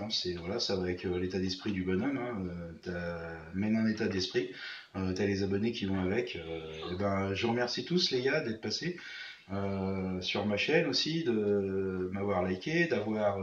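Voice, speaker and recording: Muffled echoey recording, man, casual vlog talk, rambling and hesitant, familiar slang. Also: fairly low pitch. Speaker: motorcycle vlogger. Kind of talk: casual tutorial chat with thanks to viewers. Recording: echoey room, muffled, faint noise.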